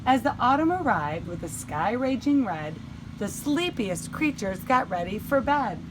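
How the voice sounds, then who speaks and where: A woman reading a children's storybook aloud in an animated, dramatic way with deliberate emphasis. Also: fairly high pitch, background noise. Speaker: teacher reading a picture book.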